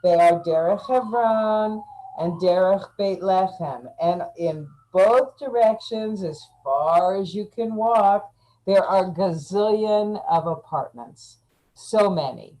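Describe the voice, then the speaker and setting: A woman guest chatting casually, halting often with fillers. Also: medium pitch, mellow, rough recording. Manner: slow, halting, frequent fillers. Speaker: interview guest. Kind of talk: casual online chat.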